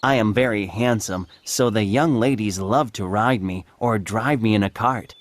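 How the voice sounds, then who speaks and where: A man's voice: on the low side, moderate pace, no room sound, natural voice. A man reading a children's storybook, voicing a friendly gossipy pony. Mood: chatty. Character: chatty fat gray male pony.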